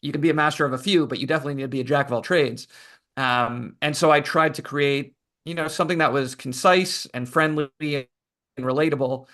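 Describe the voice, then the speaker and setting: A man, an author guesting on a podcast, who tells his story in a relaxed, thoughtful way with lots of fillers. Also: fairly high pitch, a little fast. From Canada. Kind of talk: casual interview answers.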